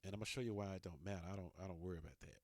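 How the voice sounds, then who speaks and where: A man's voice: low voice, fairly even intonation, rapid-fire. A man giving an informal step-by-step production tutorial as a DJ, thinking aloud while he works.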